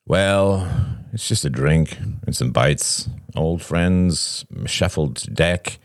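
A man's voice: low voice, very slow, dry recording, studio quality. A man voicing a brash, impatient, bossy gym-bro older brother in an audiobook story, with an expansive, decisive manner.

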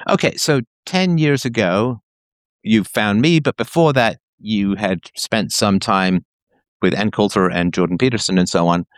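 A man's voice: fairly low pitch, measured pace, no room sound, studio quality. A man hosting a conversation, questioning his guest closely and pressing with logical follow-ups. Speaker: host interviewing a caller. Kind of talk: probing conversational interview.

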